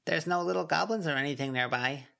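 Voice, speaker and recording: Guy doing a little critter girl sidekick, pitched way up, muttery and contrary, storybook reading. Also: fast talker, gravelly, pushed and bright. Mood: blunt, grumbling.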